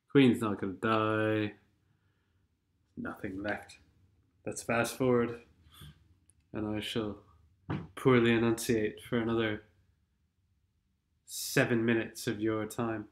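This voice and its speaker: A man's voice: lowish voice, fairly even intonation, slow pace, pauses often. A man with a stuffed-up nose from a cold, giving sardonic, rambling commentary over game replays. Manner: sardonic, rambling, sings snatches of songs. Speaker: gaming commentator.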